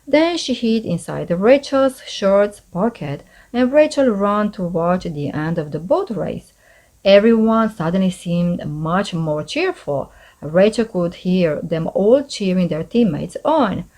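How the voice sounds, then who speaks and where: Woman reading children's stories, very lively intonation. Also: mid-range voice, slow pace. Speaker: storybook narrator, channel host. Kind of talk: scripted children's story narration, read aloud.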